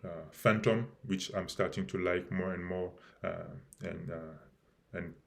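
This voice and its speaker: A man hosting a live stream about his project, speaking haltingly with many fillers and restarts, and losing his voice a little. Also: sits low, steady tone, vocal fry, fairly bright tone. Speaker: crypto project host.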